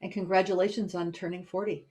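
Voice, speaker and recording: A woman's voice: fairly low pitch, little pitch movement, fast talker, a little mellow. A woman moderating a casual question session on a video call. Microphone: laptop video call.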